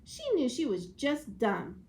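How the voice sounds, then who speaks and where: High, animated woman teacher reading aloud. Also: slow. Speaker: schoolteacher. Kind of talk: expressive storybook read-aloud lesson.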